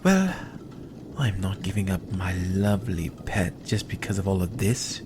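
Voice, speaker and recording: A man's voice: low voice, expressive, a little slow, noisy background. A man playing a possessive, dry-witted vampire in an ASMR roleplay, with a sardonic, commanding manner. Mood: possessive, defiant. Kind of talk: ASMR roleplay audio drama.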